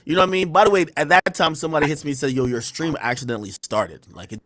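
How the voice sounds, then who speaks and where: A man's voice: on the high side, rapid-fire, a bit bright, no room sound. A livestreamer man ranting defensively, rambling in heavy slang. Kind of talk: livestream rant.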